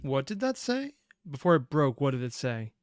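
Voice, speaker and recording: Casual commentary host, medium-pitched, invested and halting, in a dry close recording. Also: very animated, no room sound. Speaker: reaction video host.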